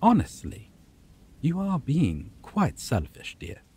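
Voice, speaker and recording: A man voicing a possessive, menacing male vampire in an ASMR roleplay, talking low and teasing and dropping to whispers. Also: wildly swooping pitch, slow, mellow, slightly rough, full character voice. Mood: taunting.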